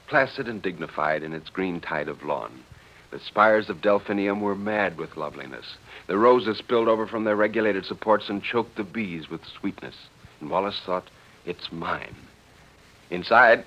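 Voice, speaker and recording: A man narrating a dramatic story in 1940s radio style, with a literary, expressive delivery. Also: low-pitched. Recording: dull vintage 1940s radio recording.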